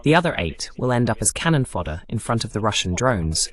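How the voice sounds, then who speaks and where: A man's voice: on the low side, very animated, fairly quick. A man hosting a political analysis monologue, explaining emphatically with rhetorical questions and lists. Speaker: host and political commentator.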